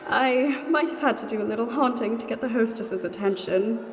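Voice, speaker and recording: A woman's voice: a little high, moderate pace, a little hiss or hum. A woman playing a chatty, wry two-hundred-year-old ghost in love, in a comedy audio drama, with a ghostly reverb on her voice. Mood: amused, excited. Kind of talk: mock dating testimonial in comedy audio drama. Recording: long echoing reverb on the voice.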